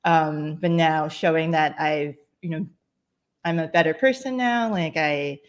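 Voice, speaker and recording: A woman in her thirties speaks in a low register. She is casual and candid, a little hesitant and giggly, and makes light of herself as she answers. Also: unhurried. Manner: self-deprecating, giggly, hesitant. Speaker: filmmaker and comedian, panel guest. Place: small room at home.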